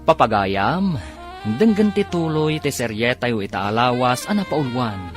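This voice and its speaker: A man's voice: mid-range voice, animated, measured pace. A man with a Filipino Ilocano accent narrating a scripted radio serial as its announcer. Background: loud music.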